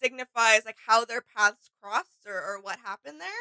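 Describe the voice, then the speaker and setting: Female co-host, slightly high and bright, relaxed conversational delivery, tentative and musing. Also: normal speed, dry recording. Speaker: reaction channel co-host. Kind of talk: casual chat, discussing game character lore.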